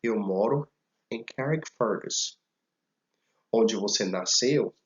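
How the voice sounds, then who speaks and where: A man teaching a recorded slide lesson, speaking deliberately and repeating each phrase for learners to follow. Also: on the low side, highs cut off. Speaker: language teacher.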